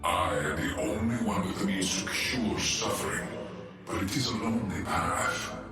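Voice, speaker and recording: Game villain-prophet played by a man: solemn, lofty machine herald, distant and reverberant, preaching in grand measured phrases. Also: sits low, natural voice. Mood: wistful, solemn. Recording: echoing game voice clips under loud music.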